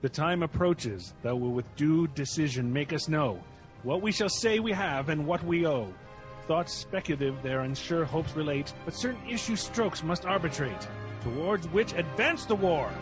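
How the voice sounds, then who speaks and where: An actor playing an earnest noble war leader in a Shakespearean audio drama, speaking in a formal, measured way. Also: male voice, on the high side, animated, music in the background, close to an everyday voice, rough recording.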